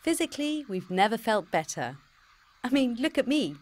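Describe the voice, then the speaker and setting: A woman's voice: on the high side, very animated, moderate pace. A woman with a British accent voicing a computer-generated future self, cheeky, teasing and always dodging the question. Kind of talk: pre-recorded game dialogue.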